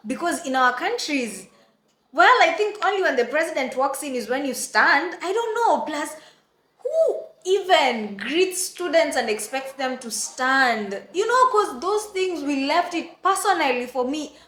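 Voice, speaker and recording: A young Kenyan woman with a Kenyan accent telling an animated storytime, exclaiming and acting out what others said. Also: sits high, measured pace, roomy sound. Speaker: vlogger. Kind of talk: casual storytime.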